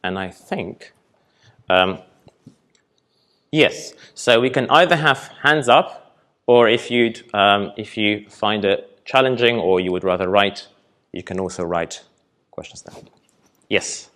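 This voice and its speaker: Low-voiced young man presenting, full of ums. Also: slow, long pauses. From Poland. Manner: relaxed, hesitant, many ums, light laughs. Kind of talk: conference talk with audience Q&A. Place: festival hall.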